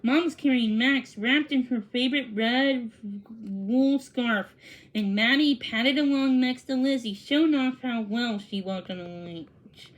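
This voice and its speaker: A woman reading a children's storybook aloud, haltingly, stumbling over words and correcting herself. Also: on the high side, poor audio. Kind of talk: read-aloud storybook narration.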